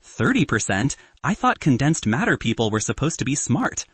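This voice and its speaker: An earnest young physics student, as a man reads him, dry and understated, husky edge. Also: mid-range voice, big pitch swings, moderate pace, fairly bright tone.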